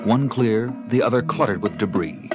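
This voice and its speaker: A man's voice: medium pitch, normal speed, over loud music. A man delivering dramatic scripted narration as the narrator of an audio drama.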